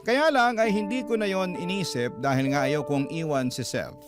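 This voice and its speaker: Higher-pitched Filipino man narrating over music. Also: moderate pace. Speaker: radio drama host reading a listener's letter. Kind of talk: dramatic scripted narration of a letter. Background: music behind the voice.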